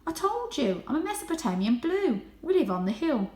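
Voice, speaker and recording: A woman's voice: high voice, sing-song, fairly quick, a little mellow, voice pushed up. A woman voicing a naive, proud young male pedigree cat in a children's storybook, speaking politely and earnestly. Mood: proud, puzzled. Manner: polite, earnest, slightly haughty. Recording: roomy, echoing indoor sound.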